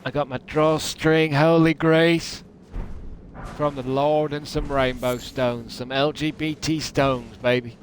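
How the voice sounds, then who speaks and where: A man's voice: fairly high pitch, fairly even intonation, slow pace. A man from the United Kingdom putting on a thick Southern US, Texan drawl while giving hammy, joking, rambling commentary as a game livestreamer. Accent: put-on thick Southern US, Texan drawl. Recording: noisy, game battle sounds behind.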